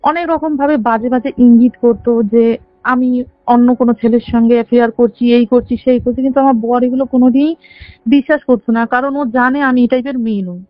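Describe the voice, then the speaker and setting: A woman's voice: on the high side, fairly even intonation, fast, no room sound. A woman of about twenty earnestly recounts a personal story in detail on a call. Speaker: caller telling their own story. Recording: dull band-limited phone call, faint music. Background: faint background music.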